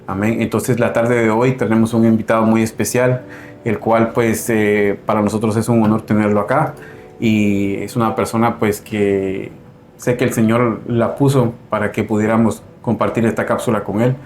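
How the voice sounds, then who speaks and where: A man with a fairly low voice speaks as host of a religious program. He talks earnestly, like a preacher, and keeps checking in with "right?". A faint rumble of noise sits under the recording. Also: flat delivery, moderate pace, a little mellow. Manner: earnest, preacher-like with frequent "¿verdad?".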